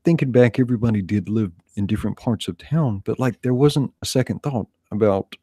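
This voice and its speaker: A man in his forties with a deep, husky voice, from the rural American South. He talks in a loose, rambling way and breaks into laughter often. The sound is dry and close, with no room around it. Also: a little fast, mellow, no echo. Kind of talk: casual chat.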